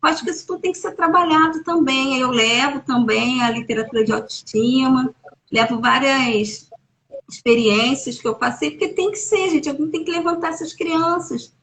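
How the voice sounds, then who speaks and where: A woman's voice: high voice, normal speed, a bit bright, low-quality recording. A woman with a Brazilian Portuguese accent, an educator who runs school workshops, talking casually and emphatically on a live video call. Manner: emphatic, encouraging, rising when making a point. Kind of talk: casual conversation on a live stream. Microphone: phone or laptop on a live video call.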